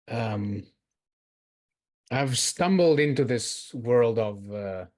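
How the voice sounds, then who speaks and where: A man's voice: medium pitch, animated, very slow, a little mellow. A man from Israel speaking as a participant in an online group discussion, earnest and reflective, growing emphatic on his key points, over a video call. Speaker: workshop participant. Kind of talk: personal sharing in a group discussion.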